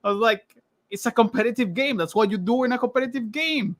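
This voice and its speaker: A man's voice: very high voice, fast, fairly bright tone, no room sound. Laughing Venezuelan-born man, close and dry. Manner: laughs often, many restarts and fillers. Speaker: guest game designer. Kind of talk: casual podcast interview.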